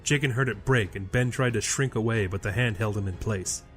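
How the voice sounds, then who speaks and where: A man's voice: lowish voice, fairly quick, loud music behind the voice. A man reading a scripted fantasy story as a dramatic storyteller.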